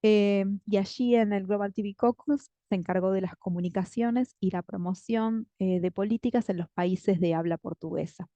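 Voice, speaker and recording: A woman's voice: medium pitch, normal speed, few pauses, dark tone, dry recording. A woman coordinator introducing a guest speaker in an online class, friendly and chatty with many fillers. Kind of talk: spoken introduction in a video class. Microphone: video call. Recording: dull lo-fi call audio.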